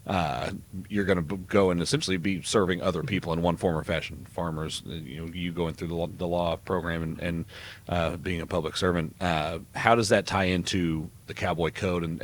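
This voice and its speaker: A male podcast host with a fairly low voice who talks quickly. He keeps the interview light and friendly, tossing out questions with casual fillers. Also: fairly even intonation. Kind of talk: upbeat campus podcast interview.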